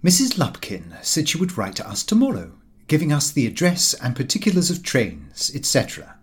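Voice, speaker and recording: A man narrating a comic diary novel as an audiobook, in a formal, measured and precise storytelling manner. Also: a little high, wildly swooping pitch.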